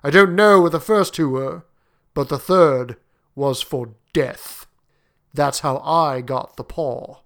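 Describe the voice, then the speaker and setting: A man voicing a grave, world-weary middle-aged sergeant major who speaks solemnly and gruffly in a storybook reading. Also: a little high, lively intonation, a little slow, a bit bright.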